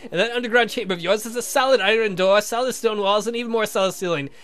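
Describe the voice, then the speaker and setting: A man's voice: sits high, fast talker, a bit bright, soft background music. A man voicing a fussy, breezily argumentative old uncle in a visual novel game, chatty and dismissive. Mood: reasoning.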